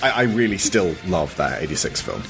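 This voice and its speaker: A man hosting a podcast, reading a comic scripted recap in a mock-solemn, deadpan way with casual asides. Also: low-pitched, a little fast, over music. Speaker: podcast host.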